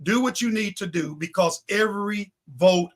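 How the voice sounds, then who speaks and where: A man's voice: sits high, moderate pace. A man speaking as a pastor and talk show guest, in impassioned talk on faith and politics, building his points in preacherly repeated phrases.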